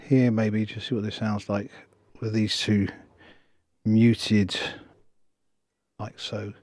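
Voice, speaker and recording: A hoarse male music producer talking through a screen tutorial step by step, thinking aloud in short half-finished phrases. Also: on the low side, little pitch movement, slow, a little mellow, dry recording, studio quality. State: hoarse after a cold. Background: electronic dance music playing.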